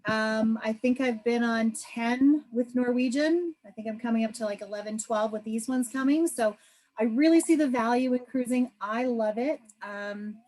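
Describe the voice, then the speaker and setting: A woman's voice: on the high side, moderate pace, a little mellow, poor audio. A woman travel agent from Canada hosting a video call presentation, upbeat and chatty. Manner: upbeat, chatty, many fillers.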